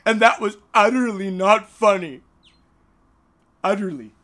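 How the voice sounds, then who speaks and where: An author reading a children's bedtime story in a theatrical, halting way, with repeated words and joking asides. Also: mid-range voice, a bit bright. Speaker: author reading his own short story.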